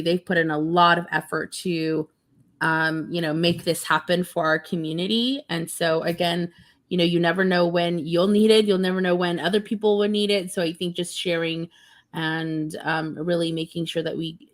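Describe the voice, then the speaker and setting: An American woman with a slightly low-pitched voice hosts a casual community talk show. Her delivery is informal and conversational.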